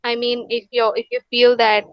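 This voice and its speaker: A woman from India, a wellness counsellor, calmly giving advice in a webinar, with many fillers and restarts. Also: fairly high pitch, normal speed, no echo, highs cut off. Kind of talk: webinar Q&A answers.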